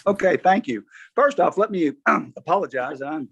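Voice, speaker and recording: Hoarse guy on a lo-fi call, pitching plans to a board, keeps clearing his throat n restarting. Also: lively intonation, normal speed, a bit bright. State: hoarse from allergies. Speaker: developer presenting to a review board. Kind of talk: formal presentation at a public meeting. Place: remote video call.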